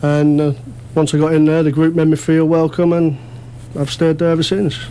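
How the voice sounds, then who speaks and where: A man's voice: a little high, little pitch movement, normal speed, mellow, slight background noise. A man chatting casually as a radio interview guest, modest and self-deprecating. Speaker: amateur actor interview guest.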